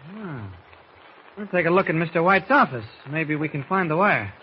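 A brisk newspaper reporter played by a man speaks in a high, very animated voice, casual yet purposeful, in vintage radio-serial style. The recording is a bit muffled. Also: a little hiss or hum, lightly acted. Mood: casual, curious. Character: brisk, mild-mannered male newspaper reporter, quick-thinking. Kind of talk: 1940s radio acting.

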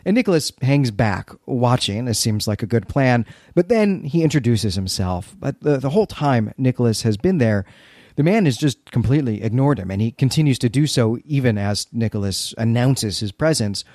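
A man's voice: medium pitch, expressive, moderate pace, darkish tone, no echo, studio quality. A man co-hosting a podcast, recapping and discussing a story in a conversational way with wry, dryly humorous asides. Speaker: podcast co-host.

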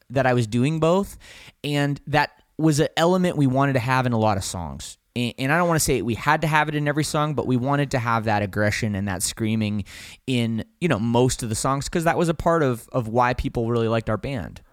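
A man's voice: mid-range voice, a little fast, no echo, clean studio sound. A man, a band's singer, talking casually and frankly in an interview, often restarting his sentences. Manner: rambling, self-correcting, frank. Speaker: band vocalist guest.

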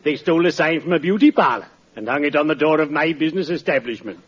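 A man's voice: on the high side, lively intonation, fast, slightly rough. A man playing a friendly, solemn undertaker in 1940s radio comedy, stately and formal, relishing morbid humor. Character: friendly, solemn undertaker who gleefully tells morbid puns. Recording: dull, noisy vintage radio recording, audience.

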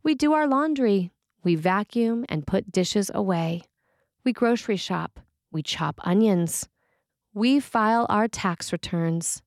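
A woman's voice: medium pitch, expressive, slow, dry recording, studio quality. A woman priest reading a scripted sermon for a podcast.

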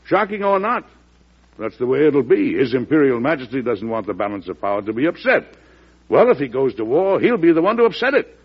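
An actor playing a forceful, shrewd American president in an old radio drama, frank and emphatic, with an American accent. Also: male voice, medium pitch, sing-song, fairly quick, breathy edge. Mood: stern. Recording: muffled vintage radio broadcast recording.

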